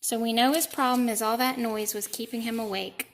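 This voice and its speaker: A woman teacher reading a picture book to young children, deliberate and explanatory, pausing to ask questions. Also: a little high, fairly even intonation, slight background noise. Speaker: elementary school teacher. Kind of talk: read-aloud lesson for young children.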